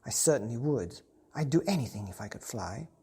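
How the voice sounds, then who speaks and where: Eager small boy for a children's story , played by a woman, earnest, kindly, breathy and animated. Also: very deep voice, fairly quick, exaggerated voice. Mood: eager, excited. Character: eager, kind little boy who dreams of flying.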